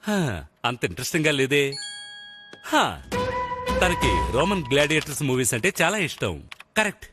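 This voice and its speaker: Goofy middle-aged cartoon dad male actor, highish voice, boastful and theatrical, music underneath. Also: animated, a little fast, pauses often, natural voice. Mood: hopeful. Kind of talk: dubbed cartoon acting.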